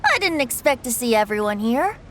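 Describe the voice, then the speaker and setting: A woman's voice: fairly high pitch, big pitch swings, a little fast, slight background noise. A woman playing a carefree, playful young male bard in a video game, with a lilting, lighthearted delivery. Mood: breezy, playful. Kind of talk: video game character voice acting.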